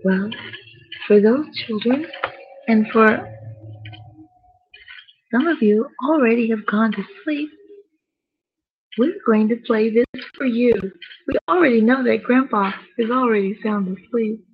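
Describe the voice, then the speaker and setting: A woman's voice: fairly high pitch, unhurried, long pauses, breathy edge. A woman hosting a children's bedtime story time, expressively narrating and speaking directly to young listeners. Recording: dull lo-fi sound with music.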